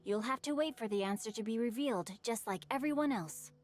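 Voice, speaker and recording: A woman voicing a polite, refined, good-natured bookish boy who teases gently in literary phrases in a game cutscene. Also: fairly high pitch, fairly quick, over music, close to an everyday voice. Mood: patient, teasing. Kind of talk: video game cutscene voice acting.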